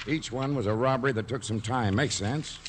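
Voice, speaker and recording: An actor playing a blunt, dry American police lieutenant in a 1940s radio drama, terse and matter-of-fact. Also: male voice, medium pitch, a little fast, natural voice. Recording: old radio recording with background hiss.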